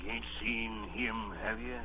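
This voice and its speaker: Man acting a gruff old sea captain, old radio. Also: on the low side, steady tone, measured pace, close to an everyday voice. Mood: furtive, uneasy. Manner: barking orders, salty nautical talk. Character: gruff, suspicious, domineering old seaman captain. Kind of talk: 1930s radio drama acting. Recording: muffled, noisy vintage radio recording.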